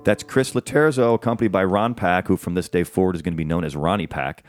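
A man's voice: deep voice, fast talker, soft background music. A man hosting an interview, chatting casually and rambling through long questions with many restarts. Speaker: podcast host.

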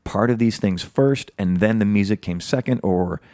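A male podcast host asking rambling, animated questions packed with "like"s in a casual interview. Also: fairly low pitch, moderate pace, no echo, clean studio sound.

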